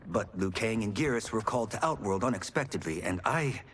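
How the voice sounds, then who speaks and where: A man's voice: on the low side, lively intonation, brisk, fairly bright tone, a little hiss or hum. An actor playing an earnest young male thunder god who speaks formally. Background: cinematic music.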